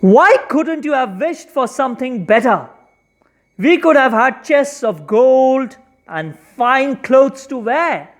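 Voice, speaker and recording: A grumbling storybook wife played by a narrator, scornful and sharp-tongued, with a raised pitch. She scolds her foolish husband in exasperated bursts. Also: animated, slow. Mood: angry scolding.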